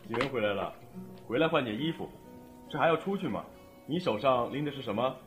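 Mild working dad, animated intonation male actor. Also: medium pitch, a little fast, lightly acted. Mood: calm. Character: calm, busy middle-aged working father. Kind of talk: audio drama acting. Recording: music playing behind.

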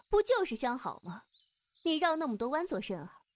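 A woman's voice: high-pitched, big pitch swings, measured pace. An actress playing a proud, teasing female martial sect leader in a wuxia audio drama, haughty and blunt, with a hidden tenderness. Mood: mocking, teasing.

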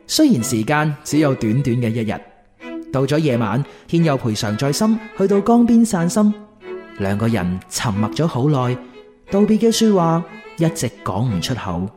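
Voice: medium pitch, big pitch swings, moderate pace, darkish tone, faint music behind. A narrator with a Cantonese accent reading scripted storytelling for a radio drama.

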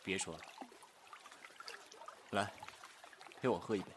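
A man playing a gentle, resigned, melancholy gentleman of ancient China in a period audio drama, speaking in a subdued, brooding way. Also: low-pitched, fairly even intonation, measured pace, noisy background. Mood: sad, resigned.

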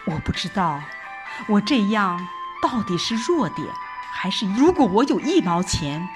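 A woman's voice: mid-range voice, very animated, a little slow, music in the background. A woman giving an emotive, dramatic first-person narration of a scripted story, like an audiobook reader. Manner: emotive, theatrical delivery.

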